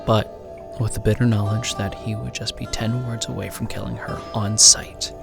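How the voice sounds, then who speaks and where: A man's voice: fairly low pitch, flat delivery, gravelly. A man narrating a horror story in a solemn, suspenseful, dramatic way. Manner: slow, solemn, suspenseful delivery. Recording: music behind the voice. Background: music.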